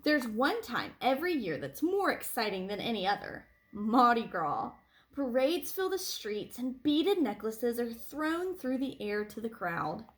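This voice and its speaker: A woman reading a picture book aloud to children like a teacher, with animated phrasing and deliberate emphasis. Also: on the high side. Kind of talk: expressive read-aloud storytelling for children.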